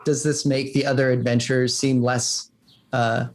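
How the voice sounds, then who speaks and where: A man with a medium-pitched voice talks as a game developer guest. His delivery is conversational and informal, as in a relaxed interview chat. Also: moderate pace.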